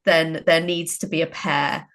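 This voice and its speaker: A woman chatting casually as a writer, discursive and halting as she thinks aloud. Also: deep voice, flat delivery, fairly bright tone. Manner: halting, discursive, frequent filler phrases. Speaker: writer and co-interviewer. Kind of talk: casual interview conversation.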